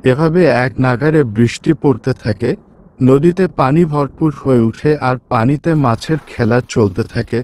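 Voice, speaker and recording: A man's voice: mid-range voice, moderate pace, a little mellow. A man narrating a scripted village folk tale as a storyteller.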